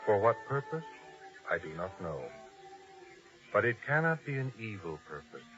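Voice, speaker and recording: A man's voice: on the low side, very animated, normal speed, a bit bright. A man playing a devout, earnest guardian of a holy stable in a 1950s radio drama, speaking with reverence and pleading. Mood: gentle, solemn. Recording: muffled vintage radio drama recording, music behind.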